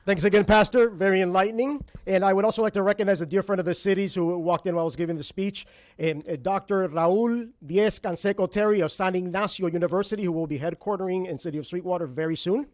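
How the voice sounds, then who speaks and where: A man emceeing a civic ceremony, briskly reading out guests' names with frequent filler "uh"s. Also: high-pitched, darkish tone. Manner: brisk name listing with filler "uh"s. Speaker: master of ceremonies.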